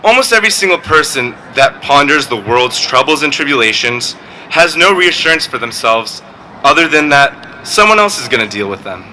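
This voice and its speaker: A teenage boy, valedictorian, giving a graduation speech with joking, deadpan asides. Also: mid-range voice, normal speed, slight background noise, rough recording. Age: teens. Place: outdoor graduation ceremony.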